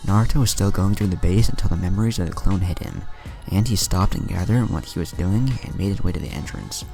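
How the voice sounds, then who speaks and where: A man reading a fan story aloud as a storyteller, with an even, unhurried delivery. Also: low voice, vocal fry. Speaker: fan fiction reader. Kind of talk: storytelling narration. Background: soft background music.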